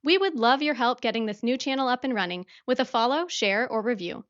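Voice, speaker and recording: A woman's voice: fairly high pitch, expressive, brisk, highs cut off. A woman hosting an interview podcast in a friendly, conversational presenting style. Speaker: podcast host.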